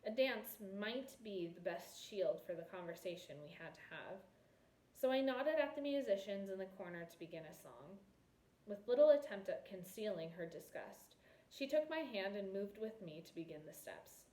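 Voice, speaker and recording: A woman reading a novel aloud as an expressive scripted narration, recorded in an echoey room. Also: mid-range voice, moderate pace. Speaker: audiobook reader.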